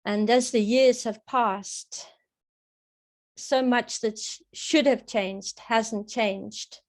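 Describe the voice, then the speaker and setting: Female interview guest, slightly high voice, unhurried and deliberate, earnest commentary with pauses. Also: steady tone. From South Africa. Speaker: interview guest, political analyst and website creator. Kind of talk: interview answers, measured commentary.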